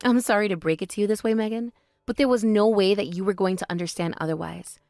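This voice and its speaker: A woman voicing a calm, composed older sister who speaks in a measured, quietly cutting way in a voice drama. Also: mid-range voice, no echo, clean studio sound, lightly acted. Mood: cool. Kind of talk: voice drama, one performer.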